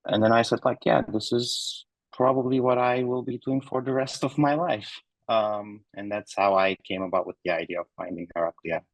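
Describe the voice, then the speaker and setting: Low-voiced man from Turkey. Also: normal speed, no echo, rough recording. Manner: relaxed, with many ums and uhs. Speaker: founder and guest. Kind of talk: casual interview answers. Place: remote recording.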